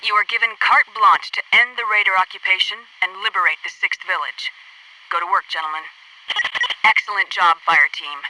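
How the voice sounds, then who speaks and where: A woman's voice: medium pitch, fairly even intonation, moderate pace, sharp tone, no echo. An actress playing an authoritative female military mission commander in a video game, formal, clipped and commanding, heard slightly distant through a radio filter. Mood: approving. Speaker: military headquarters mission controller.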